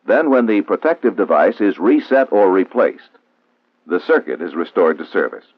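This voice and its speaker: A thin, narrow-band old film soundtrack carries an American man narrating a 1960s training film. His fairly low voice is formal and didactic, with lively rises and falls as he gives measured instruction. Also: no room sound.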